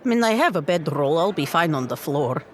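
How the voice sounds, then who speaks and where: A woman's voice: deep voice, animated, a little fast, a little hiss or hum, pitched below the performer's own voice. A woman playing a big, hearty female adventurer in a tabletop game, casual and plainspoken. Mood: easygoing.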